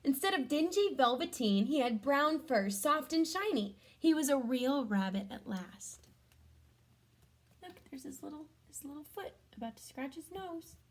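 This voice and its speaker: A woman reading a storybook aloud to children, adding gentle chatty comments and questions for young listeners. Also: high-pitched, animated, a little slow. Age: adult.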